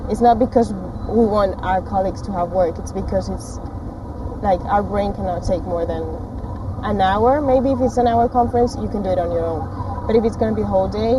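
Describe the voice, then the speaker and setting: A woman's voice: medium pitch, brisk, background noise. A young woman from Spain, a freelance interpreter speaking earnestly in a documentary interview. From Madrid, Spain. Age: young adult. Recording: slightly distant mic.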